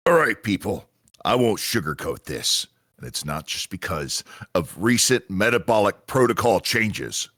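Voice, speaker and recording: A man playing a grave commanding agent in a sci-fi radio drama, briefing with deliberate, dramatic emphasis and pauses. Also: lowish voice, sing-song, a bit bright, no room sound, studio quality, heavily acted. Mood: grave, urgent. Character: grave male commanding agent of a multiverse agency.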